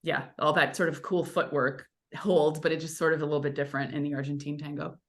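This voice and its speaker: A woman's voice: sits low, quick pace. A woman actor, a native Italian speaker, chatting as an interview guest and telling stories with lots of "like". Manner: chatty, animated storytelling with many "like" fillers. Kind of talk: casual interview chat.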